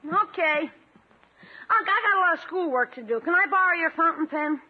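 Cheeky schoolboy nephew, very high and animated, slangy, good-natured, muffled old radio comedy recording. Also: moderate pace. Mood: sheepish protest. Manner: slangy, boyish, protesting. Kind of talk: 1950s radio comedy acting.

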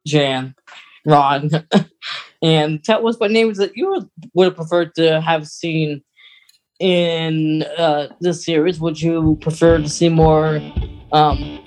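A woman's voice: low-pitched, steady tone, slow, darkish tone. A woman co-hosting a podcast, chatting casually in a playful, giggly way. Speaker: podcast co-host. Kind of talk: casual chat about a radio drama.

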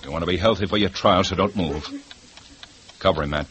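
An actor playing a methodical male police lieutenant who questions a suspect in a calm, pressing way in a 1950s radio drama. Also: low voice, a little fast, very noisy, lightly acted.

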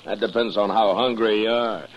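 An American actor playing a wry, easygoing frontier marshal in a 1950s radio western, laconic and dryly teasing. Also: male voice, lowish voice, steady tone, moderate pace, slight background noise, lightly acted. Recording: muffled old radio recording.

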